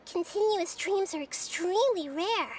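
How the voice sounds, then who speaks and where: A young girl deity from a video game, speaks in a very high, soft, girlish voice. The character is gentle and wise, with thoughtful phrasing over faint background noise. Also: lively intonation, a little slow. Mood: gentle, earnest.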